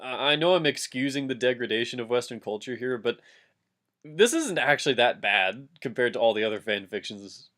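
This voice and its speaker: A man's voice: mid-range voice, lively intonation, fairly quick, fairly bright tone. A man chatting casually and comically as a co-host reacting to a reading.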